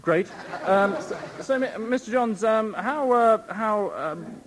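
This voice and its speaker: A man's voice: sits high, expressive, slow, crowd noise. An actor playing a hapless male radio phone-in host who stumbles and stalls with endless ums and uhs. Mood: flustered. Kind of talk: radio comedy sketch.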